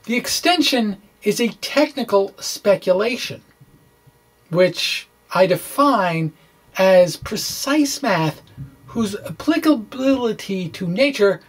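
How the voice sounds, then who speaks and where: A science blogger gives a dramatic reading of technical writing at a very slow, measured pace. The pitch sits in a middle range, and the phrasing is deliberate and theatrical, loosening into casual, informal asides. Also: expressive, slightly rough. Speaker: science blogger reading own blog aloud.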